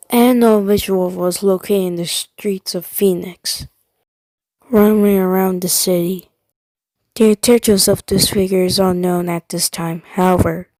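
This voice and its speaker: A woman's voice: mid-range voice, darkish tone, no echo, poor audio. A woman reading scripted emergency broadcast announcements in a formal, stilted manner. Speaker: emergency alert announcer and news anchor.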